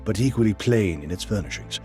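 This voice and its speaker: An actor playing a proper, polite Victorian gentleman doctor in a period audio drama. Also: on the low side, animated, a little fast, soft background music, close to an everyday voice. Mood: measured narration. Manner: polite, indignant, stammering when flustered. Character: proper, easily flustered Victorian gentleman doctor, detective's companion.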